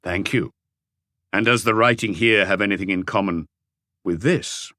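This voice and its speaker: A man voicing a calm, terse police inspector who questions in a measured, probing way for an audiobook. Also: fairly low pitch, dry recording, clean studio sound.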